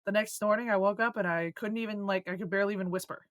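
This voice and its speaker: A hoarse, croaky woman co-hosting a casual podcast, swearing and joking loosely. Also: mid-range voice, fairly even intonation, fast talker, no room sound. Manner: swearing, joking, laughing often. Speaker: podcast co-host.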